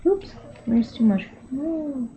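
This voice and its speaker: A woman's voice: high-pitched, animated, slow pace. A woman live-streaming her digital painting from her bedroom, chatting casually and talking to herself as she works. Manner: chatty, laughs often, talks to herself while working. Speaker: digital artist livestreaming. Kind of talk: casual chat while drawing. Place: bedroom at home. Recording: dull lo-fi stream audio with faint hiss.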